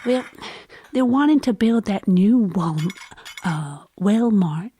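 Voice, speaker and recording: A woman plays a flirtatious Southern farm mother from a comic western radio play. Her voice is breathy and husky. She drawls slowly, coy and folksy in every phrase. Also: medium pitch, animated, warm tone. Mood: folksy, aggrieved. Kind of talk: comedic western audio drama.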